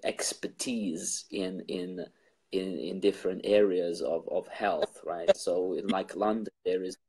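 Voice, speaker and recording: A man from Bosnia interviews his guest, relaxed and rambling, leaning on fillers. His voice is low and a little husky. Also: unhurried. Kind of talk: casual interview chat.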